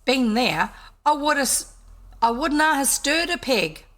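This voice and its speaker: A woman's voice: high voice, big pitch swings, unhurried, fairly bright tone, pitched above the performer's own voice, heavily acted. A woman voicing a weary, put-upon old male farmer, reading his dialect lines aloud in her own voice without putting on an accent. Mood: complaining.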